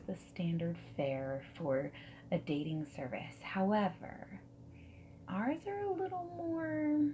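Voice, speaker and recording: A mellow, soft and breathy woman's voice, often dropping into a whisper, acting as a friendly, whimsical dating consultant in an ASMR roleplay. She talks slowly and gently, reassuring and chatty, over faint hiss and keyboard typing. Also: mid-range voice, lo-fi sound. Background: mechanical keyboard typing.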